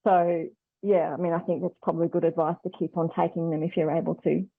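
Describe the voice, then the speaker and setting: A woman's voice: on the low side, level tone, fast talker, vocal fry, no echo. A woman with an Australian accent, explaining conversationally as an expert guest on a radio phone-in, over a muffled phone-like line.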